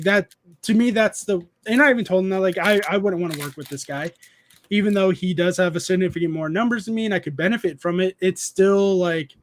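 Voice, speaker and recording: A man in his thirties hosting a casual live stream, rambling with many restarts and reading chat messages aloud. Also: high voice. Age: 30s. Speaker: streamer host.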